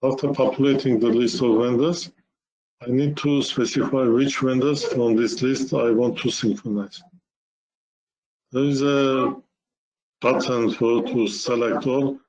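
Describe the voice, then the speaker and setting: Male webinar presenter, very unhurried and halting, medium pitch, walking through software steps. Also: steady tone, rough recording. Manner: halting, step-by-step explaining in short phrases.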